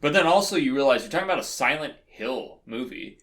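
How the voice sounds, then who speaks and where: Male podcast co-host, mid-pitched and forceful, halting delivery in loose conversational critique. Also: animated. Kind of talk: casual chat, film critique.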